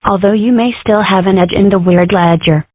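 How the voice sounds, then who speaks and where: A woman's voice: medium pitch, fairly even intonation, moderate pace, background noise, close to an everyday voice. A woman voicing a brash, sardonic young girl fox in a cartoon comic dub, with a dry, sarcastic delivery. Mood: teasing. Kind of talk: comic strip dub acting. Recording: tinny phone-like band-limited audio.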